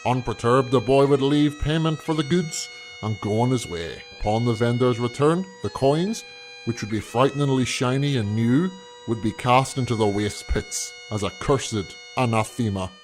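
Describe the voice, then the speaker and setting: A rustic village storyteller, voiced by a man telling a dread folk tale in an audio drama. The delivery is solemn and old-fashioned, at a middle pitch. Music sits under the voice. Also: normal speed, natural voice. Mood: ominous, solemn.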